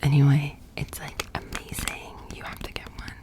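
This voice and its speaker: American girl doing asmr, low whispery voice, super casual and chatty. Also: completely flat delivery, normal speed. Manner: casual, chatty, informal with swearing. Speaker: ASMR creator. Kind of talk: soft-spoken whispered ASMR roleplay.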